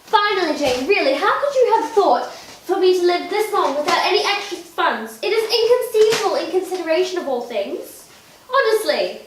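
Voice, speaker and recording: A woman's voice: very high-pitched, big pitch swings, normal speed. A woman playing a vain, giddy, petulant young Regency wife in a theatrical monologue, with affected, genteel, dramatic delivery. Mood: excited, dismissive. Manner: affected, dramatic, genteel old-fashioned phrasing. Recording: echoey room, distant mic, faint noise.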